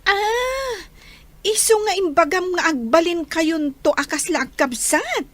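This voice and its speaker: A woman's voice: extremely high pitch, lively intonation, unhurried, fairly bright tone, exaggerated voice. A woman playing a nosy, teasing schoolgirl sidekick in an audio drama, with exaggerated delivery.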